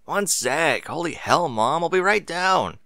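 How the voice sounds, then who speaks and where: Casting: irritated teen boy, performed by an adult man, surly and grudging, bright raised voice for an audiobook. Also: a little high, expressive, measured pace, creaky, no echo, clean studio sound, pitched above the performer's own voice, exaggerated voice. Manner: grudging, impatient. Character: surly fifteen-year-old son, irritated with his mother. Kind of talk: audiobook character voice.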